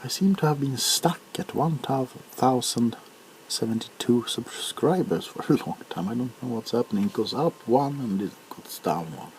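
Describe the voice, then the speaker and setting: A man rambling casually for an ASMR video, with a halting, unhurried, hesitant way of talking. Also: mid-range voice, animated, a little hiss or hum, poor audio.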